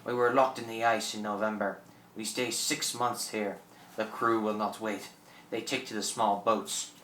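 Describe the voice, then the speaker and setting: Man playing a forceful French pirate, distant and roomy. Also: fairly low pitch, little pitch movement, moderate pace, a little hiss or hum, close to an everyday voice. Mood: startled, puzzled. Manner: theatrical, broken English with French oaths. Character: fierce, proud French pirate thawed from fifty years frozen. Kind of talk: amateur radio drama acting.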